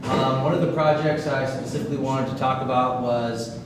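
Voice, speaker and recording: A man giving a fire management update at a community town hall, talking in a halting way with many ums and restarts. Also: mid-range voice, fairly even intonation. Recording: echoey hall, distant, noisy.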